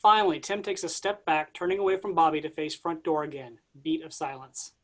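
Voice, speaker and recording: A man reading stage directions aloud in a plain, even way during a staged reading. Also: fairly high pitch, fairly quick.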